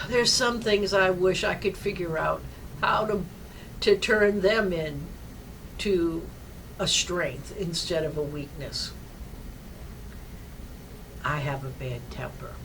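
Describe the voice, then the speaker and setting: Older woman chaplain, deep and forceful, projects well; distant mic, light background noise. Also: takes it slowly, long pauses. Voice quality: low-pitched, projecting voice. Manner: loud and forceful, rambling personal stories. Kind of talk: devotional talk.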